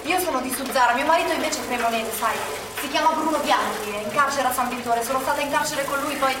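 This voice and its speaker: Brief: actress playing a resolute pregnant partisan woman, very high and fast-talking, heard from afar in an echoey room with noise. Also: lightly acted. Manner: quick, resolute, confiding. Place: theatre stage. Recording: echoey theatre stage, distant mic, noisy.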